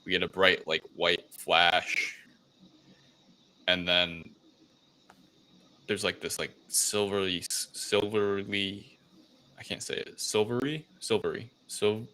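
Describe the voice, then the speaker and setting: A man co-hosting a casual film review chat, recounting things in a halting, rambling way. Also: low-pitched, steady tone, bright, forceful tone. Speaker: podcast co-host.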